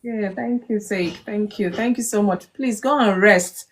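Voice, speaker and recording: A woman's voice: mid-range voice, unhurried, low-quality recording. A woman with a Nigerian accent hosting a casual live group chat in a playful, coaxing, encouraging way. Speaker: live stream host.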